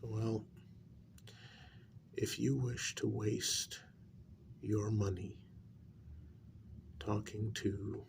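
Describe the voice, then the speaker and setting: A man in a soft-spoken ASMR role-play as a calm, grave psychologist, talking in a measured, halting, breathy half-whisper with gravel in it. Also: on the low side, background noise. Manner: deliberate, measured, halting phrases. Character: calm, grave male psychologist and spiritualist counselling a parent.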